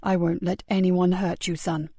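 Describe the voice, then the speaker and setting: A woman doing a gentle, low-voiced male sergeant. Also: flat delivery, moderate pace, creaky, dry recording. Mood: soothing. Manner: low, soft and soothing. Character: weary kind male police sergeant.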